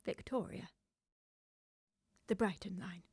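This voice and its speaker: A woman's voice: medium pitch, takes it slowly, darkish tone, breathy edge, no room sound, clean studio sound. A woman playing a prim, flustered unmarried governess in a period radio comedy, speaking with precise, melodramatic formality. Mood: ashamed, solemn.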